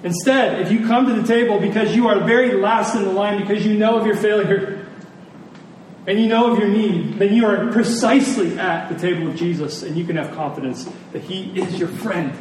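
A man with a fairly high voice preaches earnestly in a very echoey room. He speaks deliberately and repeats phrases for emphasis. The microphone sounds a little distant. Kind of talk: sermon to a congregation. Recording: very echoey room, distant mic, faint noise.

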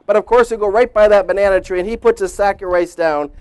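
A middle-aged male preacher with a high-pitched voice, recorded dry and close. He speaks conversationally, like a storyteller, acting out his inner thoughts as he preaches. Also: quick pace, clear, resonant tone, no echo. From grew up in Indonesia. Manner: conversational, animated storyteller who acts out his own thoughts. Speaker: preacher, former missionary. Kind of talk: sermon with personal storytelling.

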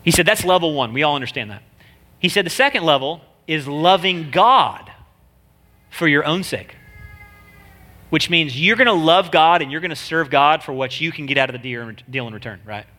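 Man, nasal voice, slightly high pitch, guest preacher, chatty joking sermon. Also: lively intonation, normal speed, bright, forceful tone. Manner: chatty, joking, slangy delivery. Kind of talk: casual sermon.

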